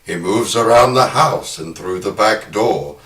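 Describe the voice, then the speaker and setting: A man's voice: lowish voice, measured pace, a bit bright. A man hosting a horror podcast, moving between talk and dramatic storytelling. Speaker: podcast host. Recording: roomy, music behind.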